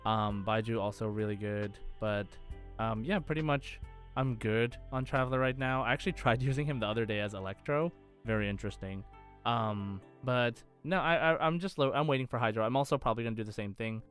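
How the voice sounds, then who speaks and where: A man with an average-pitched voice co-hosts a gaming podcast. He talks casually and enthusiastically, as if chatting with a friend. Music plays behind his voice. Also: brisk, fairly bright tone. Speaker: podcast host.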